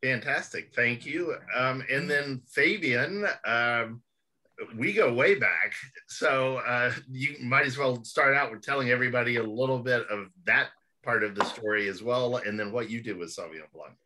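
A man's voice: mid-range voice, moderate pace, bright, forceful tone, low-quality recording. A man hosting a video call panel, friendly and a bit hesitant, with many fillers. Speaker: panel host.

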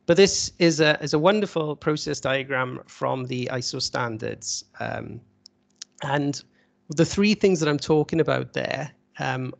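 A man's voice: mid-range voice, gravelly. A man lecturing at an online webinar, explaining conversationally with rhetorical questions over a video call. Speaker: university lecturer presenting at a webinar. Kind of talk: informal slide-led lecture. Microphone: video call microphone.

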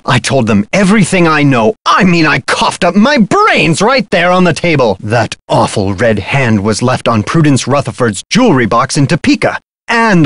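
An actor playing a flamboyant, gossipy art dealer in a mystery game, with a melodramatic, florid delivery. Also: male voice, on the high side, dry recording, clean studio sound. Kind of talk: theatrical video game character acting.

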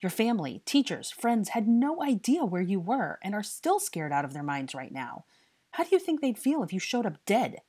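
Woman playing a stern, exasperated young male investigator, husky. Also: lowish voice, animated, moderate pace. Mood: heated, berating. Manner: forceful, exasperated, interrogating.

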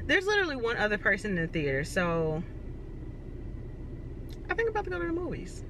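A woman's voice: on the high side, wildly swooping pitch, normal speed. Casting: woman vlogger, chatty and self-deprecating, faint background hiss. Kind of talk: casual vlog talking to camera. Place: home, then in her car. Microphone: phone or camera, self-filmed.

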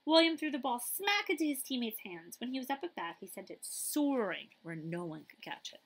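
A middle-aged woman reading a children's picture book aloud, with dramatic emphasis and pauses. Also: a little high, sing-song.

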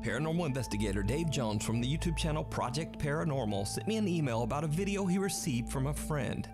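A man's voice: a little high, expressive, quick pace. A man giving scripted, dramatic narration for a countdown of ghost videos. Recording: played video with music behind.

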